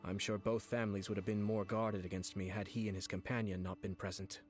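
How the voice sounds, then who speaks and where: Composed young clan lord played by a man for a game: low, formal, shrewd, music underneath. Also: little pitch movement, lightly acted. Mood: courteous. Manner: formal, measured, courtly. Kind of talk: scripted video game dialogue.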